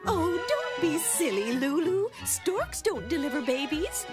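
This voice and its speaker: A woman voicing a brisk, dismissive grown-up mother in a children's cartoon. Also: very high voice, wildly swooping pitch, over music, full character voice. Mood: matter-of-fact.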